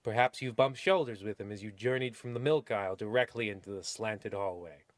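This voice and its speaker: A man playing the wry, mock-solemn narrator of a parody old-time radio horror series, theatrical with deliberate pauses. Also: fairly low pitch, no echo, lightly acted. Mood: mock-ominous. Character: wry, ominous male host of spooky radio series.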